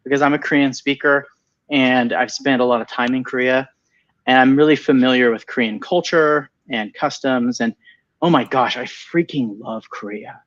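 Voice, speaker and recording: An enthusiastic man talks loosely in a webinar, often restarting his sentences. His voice sits at an ordinary pitch. The audio is slightly dull and lo-fi, as over a video call. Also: normal speed, a bit bright. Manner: enthusiastic, rambling with many repeated starts. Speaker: venture capital partner and co-host. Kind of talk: casual webinar conversation.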